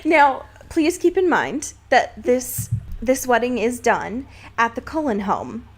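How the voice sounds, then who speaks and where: A woman's voice: fairly high pitch, expressive, slow pace. A woman co-hosting a podcast, chatting casually and comedically in informal, slangy, sweary language. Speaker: podcast co-host. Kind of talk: casual, comedic book discussion chat.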